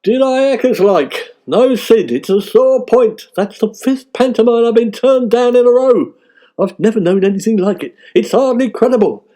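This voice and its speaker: A man acts out a pompous, self-deluded ageing British actor for a radio comedy sketch. The voice sits very high and has a soft, mellow edge, forever sounding wounded and indignant. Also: lively intonation, moderate pace. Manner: aggrieved, pompous, emphatic.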